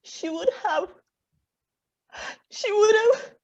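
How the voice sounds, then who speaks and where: A tearful, sentimental female funeral guest, acted by a woman, speaks in a high voice. It is radio-play acting, caught on a dull and muffled recording. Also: animated, very slow, exaggerated voice.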